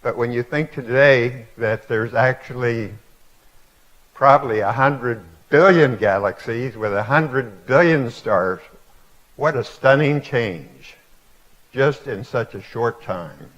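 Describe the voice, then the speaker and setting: An elderly man in his eighties speaks slowly to an audience in an auditorium, pausing often and filling gaps with hesitant fillers as he reflects. His voice sits at an ordinary middle pitch, and the live stream sound is dull and lo-fi. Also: fairly bright tone. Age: 80s. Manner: halting, reflective storytelling with many fillers. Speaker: guest speaker. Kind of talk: public lecture.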